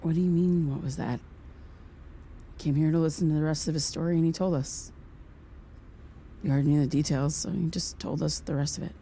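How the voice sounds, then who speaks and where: A woman's voice: low voice, steady tone, gravelly, mellow, a little hiss or hum. A woman acting as an earnest, hesitant podcaster seeking answers in a naturalistic audio drama. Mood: defensive, insistent. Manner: halting, apologetic, polite. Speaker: lead actor playing a podcaster.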